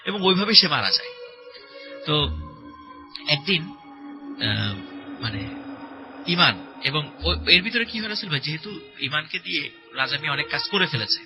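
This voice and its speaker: A man telling a horror story conversationally as a guest, in a halting, repetitive, suspenseful way. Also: a little high, animated, rough recording. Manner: halting, repetitive, suspenseful retelling with filler words. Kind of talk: spoken horror story told conversationally to a host. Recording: voice over prominent background music. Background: music.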